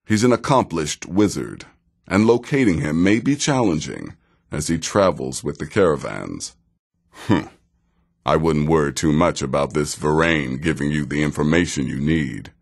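A man playing a wry, sardonic middle-aged assassin in a fantasy video game, speaking in a dry, measured, sarcastic way. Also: a bass voice, animated, vocal fry, breathy edge, heavily acted. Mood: wry, advisory. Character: wry, sardonic veteran male assassin handing out contracts.

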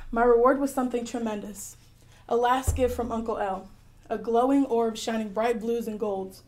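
High-voiced adventurous young heroine played by a woman. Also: a little mellow, lightly acted. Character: adventurous, clever girl heroine telling her story. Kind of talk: first-person radio drama narration.

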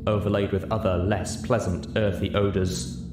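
A man's voice: on the low side, level tone, normal speed, background noise. A man reading a scripted story aloud as an audiobook narrator, in a roomy recording. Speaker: audiobook reader. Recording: roomy echo, music behind. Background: music.

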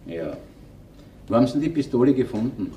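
Actor as a dry, persistent Viennese police inspector. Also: male voice, mid-range voice, expressive, fairly quick, mellow, a little hiss or hum, natural voice. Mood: matter-of-fact. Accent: Austrian, Viennese. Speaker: actor in a radio crime play. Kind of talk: conversational radio drama acting.

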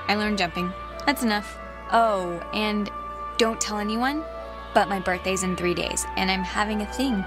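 A woman acting as a laid-back but apologetic American teenage girl. She speaks in a mid-pitched voice, haltingly, correcting herself as she goes. Game music plays clearly behind her natural, conversational delivery. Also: close to an everyday voice. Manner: hesitant, trailing off, self-correcting. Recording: game soundtrack music under the voice.

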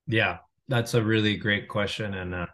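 A man's voice: fairly low pitch, fairly even intonation, moderate pace, fairly bright tone. Reflective man on a video call. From Venezuela. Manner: reflective, many restarts and fillers. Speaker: founder and guest. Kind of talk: casual interview talk. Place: home room via video call. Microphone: video call webcam mic.

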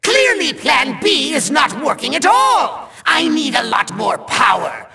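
An actor playing a pompous, tantrum-prone little cartoon villain in a video game, with a shrill, gravelly, theatrical delivery. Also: male voice, huge pitch swings, measured pace, raised voice, crowd noise, heavily acted. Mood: frustrated scheming. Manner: theatrical, grandiose, sneering, cackling.

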